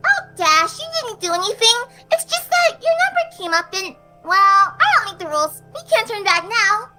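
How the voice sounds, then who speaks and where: Squeaky, chirpy cartoon pony villain. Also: female voice, big pitch swings, moderate pace, pushed and bright, faint music behind, heavily acted. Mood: playfully menacing. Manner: chirpy, chatty, childlike. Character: cheerful, giggly, sadistic pink female cartoon pony. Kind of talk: dramatized horror fan fiction reading.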